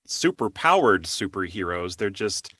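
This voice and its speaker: A man's voice: deep voice, very animated, measured pace, fairly bright tone, slightly rough, no room sound. A man hosting an interview in a casual, conversational way, wordy and informal as he thinks aloud. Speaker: interview host.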